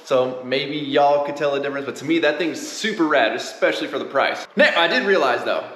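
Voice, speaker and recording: A young man vlogging his home renovation in a casual, excited, slangy way, filmed on a handheld action camera. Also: fairly high pitch, brisk. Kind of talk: casual how-to vlog. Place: large empty unfinished room indoors. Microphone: action camera held at arm's length. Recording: echoey bare room.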